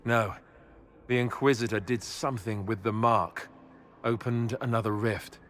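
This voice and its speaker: A man's voice: lowish voice, steady tone, measured pace, fairly bright tone, slight background noise. An actor playing a world-weary, practical and wary male hero in a fantasy video game. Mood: uneasy, puzzled.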